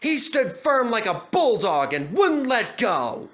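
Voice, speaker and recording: A man's voice: very high voice, big pitch swings, takes it slowly, a bit bright, pitched above the performer's own voice, full character voice. A man voicing a courteous, admiring railway passenger with a polite, enthusiastic manner.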